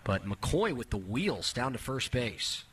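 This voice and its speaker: Male radio baseball announcer, bright forward voice, clipped play-by-play delivery that lifts with the action. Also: mid-range voice, slow, breathy edge. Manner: clipped descriptive phrases, rising excitement on action. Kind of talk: radio sports play-by-play commentary.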